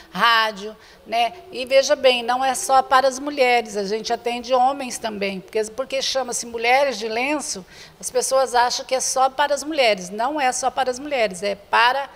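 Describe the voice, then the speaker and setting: An older woman with a Brazilian accent, earnestly inviting the public in an interview and often repeating herself as she addresses viewers directly. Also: a little high. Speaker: association president, interview guest. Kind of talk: spoken interview inviting the public to a charity event. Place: indoor room with bare walls. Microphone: handheld reporter's microphone held before her. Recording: reverberant room sound.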